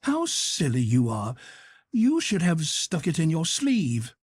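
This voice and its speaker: Scolding older mother, chiding tone, slow, raised voice, voiced by a male reader. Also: fairly high pitch, wildly swooping pitch, husky, dry recording, clean studio sound, pitched above the performer's own voice, exaggerated voice.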